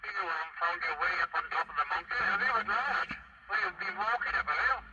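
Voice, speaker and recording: A man's voice: high voice, fairly quick. A man chatting politely over CB radio, hesitant and drily understated. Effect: heard over CB radio. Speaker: CB radio operator. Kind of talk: casual two-way radio chat. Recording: muffled CB radio, loud static and noise.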